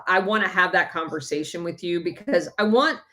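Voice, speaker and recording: Woman host, slightly low pitch, eager and chatty, plenty of ums. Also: fairly quick, a bit bright. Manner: eager, filler-heavy, many ums. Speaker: podcast host. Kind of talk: casual chat.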